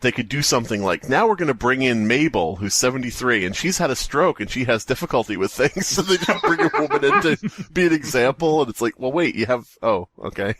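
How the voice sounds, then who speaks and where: A man's voice: mid-range voice, expressive, fairly quick, hardly any pauses, a bit bright, no room sound. A man hosting a comedy podcast, riffing casually and reading silly stories aloud in a jokey way. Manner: jokey, riffing, acts out bits. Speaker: podcast host.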